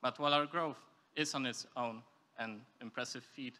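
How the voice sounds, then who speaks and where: A man's voice: medium pitch, fairly even intonation, moderate pace, pushed and bright. A young man from Poland delivers a prepared, formal and structured speech as a student speaker. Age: young adult. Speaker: student speaker at a youth forum.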